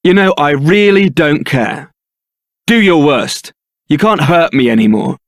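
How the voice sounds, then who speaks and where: A man's voice: on the high side, animated, measured pace, no room sound. A man playing a suave, sardonic young templar knight and roguish ladies' man in a fantasy video game, with a dry, theatrical delivery. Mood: defiant.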